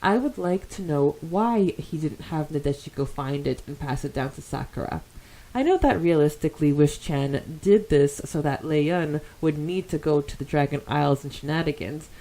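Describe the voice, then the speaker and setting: Lively, giggly woman podcast host. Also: low-pitched, rough recording. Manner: giggly, enthusiastic. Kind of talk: casual fan podcast chat, reading out listener comments.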